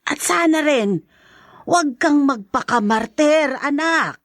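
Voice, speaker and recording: A woman's voice: sits high, lively intonation, slow, dry recording, studio quality. An actress playing a mother in a radio drama, sternly warning her daughter. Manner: stern and cautioning. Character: worried mother.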